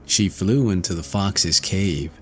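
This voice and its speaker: A man narrating a fairy tale aloud in a slow, expressive storytelling manner. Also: low voice, soft background music. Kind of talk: audiobook fairy tale reading.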